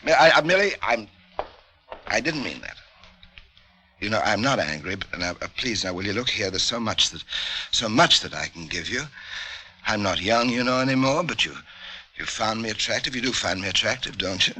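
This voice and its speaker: An actor playing a fussy, unctuous middle-aged doctor with hidden menace, genteel and halting in the mannered style of old-time radio drama. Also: male voice, lowish voice, expressive, bright, forceful tone, a little hiss or hum. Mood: pleading. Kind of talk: old-time radio drama acting, first-person narration and dialogue. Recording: vintage radio drama with music bed.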